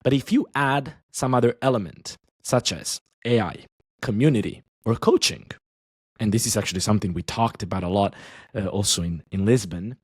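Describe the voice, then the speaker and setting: A man with a fairly low voice chats as a podcast guest, speaking in quick bursts and often restarting his sentences. The sound is dry and close, with no room around it. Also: breathy edge, no echo. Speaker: podcast guest, online language teacher. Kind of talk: casual podcast conversation.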